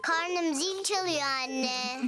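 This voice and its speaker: A woman's voice: high voice, very slow. A woman voicing a sweet toddler girl, a babyish and playful little sister in a children's cartoon. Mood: cheerful.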